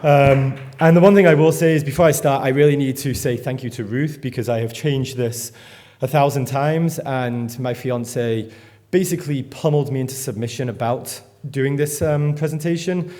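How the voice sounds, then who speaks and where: A man's voice: medium pitch, moderate pace, mellow. A man, giving a rambling, self-deprecating conference talk and swearing freely. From England. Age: 30s. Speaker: conference speaker. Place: conference stage before an audience.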